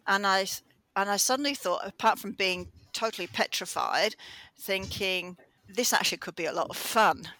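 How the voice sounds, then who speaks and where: A woman with a mixed English and Australian accent, a radio show host chatting in an interview, telling her story in an animated way with quick restarts. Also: mid-range voice, no echo. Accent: mix of English and Australian. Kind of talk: conversational interview answer.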